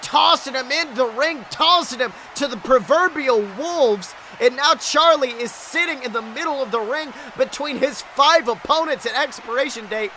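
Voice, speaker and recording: A male wrestling commentator calls the action in a strikingly high, sharp voice. His delivery is breathless and exclamatory, full of dramatic emphasis. There is faint noise and ambience behind him. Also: moderate pace, few pauses. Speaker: wrestling commentator, podcast host. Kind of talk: excited play-by-play wrestling commentary.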